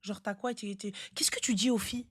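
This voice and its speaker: A woman's voice: on the high side, steady tone, fairly quick, no room sound, studio quality. A woman hosting a casual live advice chat, speaking bluntly and insistently and often repeating words to drive a point home. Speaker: live stream host giving relationship advice.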